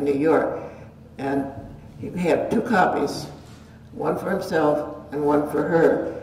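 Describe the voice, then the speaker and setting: An older woman giving an informal public talk, chatty and animated, her voice a bit hoarse. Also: deep voice. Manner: chatty, animated, gestures a lot. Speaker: guest lecturer. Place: community meeting room. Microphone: stand mic at a podium. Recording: roomy hall sound, distant mic, audible hiss.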